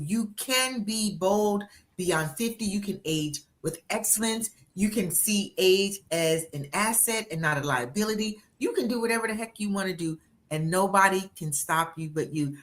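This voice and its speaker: Bright-voiced upbeat woman interviewer. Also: mid-range voice. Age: 60s. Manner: energetic, encouraging, motivational. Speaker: interviewer and book coach. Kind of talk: upbeat live-stream interview.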